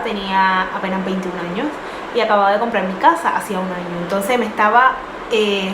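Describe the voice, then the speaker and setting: Young woman, earnest storyteller, middling pitch, echoey room with background noise. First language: not English. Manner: earnest, many fillers and restarts. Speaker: vlogger. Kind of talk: personal storytelling.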